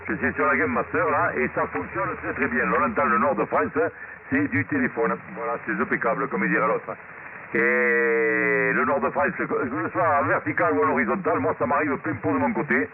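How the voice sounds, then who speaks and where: Gravelly man through heavy radio noise. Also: on the high side, normal speed, phone-quality sound. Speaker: amateur radio operator. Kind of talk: casual radio chat. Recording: very noisy two-way radio transmission.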